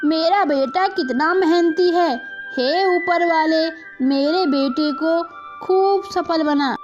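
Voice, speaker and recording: A woman playing a fretful, doting village mother in an animated moral story. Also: very high-pitched, slow. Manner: complaining, fussing. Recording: muffled lo-fi audio with music.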